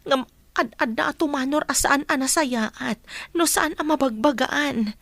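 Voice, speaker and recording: A woman playing a concerned, patient mother in an audio drama, speaking in an earnest, measured way. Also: high-pitched, vocal fry, a bit bright, no room sound, heavily acted. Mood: gently chiding.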